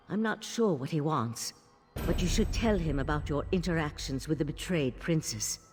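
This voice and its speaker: An actress playing a grave, weary mage leader in a fantasy video game, speaking formally and deliberately with wry skepticism. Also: female voice, lowish voice, a little mellow, slightly rough, heavily acted. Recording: long hall-like reverb.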